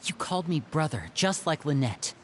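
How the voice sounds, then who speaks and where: A man voicing an earnest, protective young brother in a video game, speaking in a measured, heartfelt way. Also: on the high side, big pitch swings, a bit bright, slight background noise. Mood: earnest, tender.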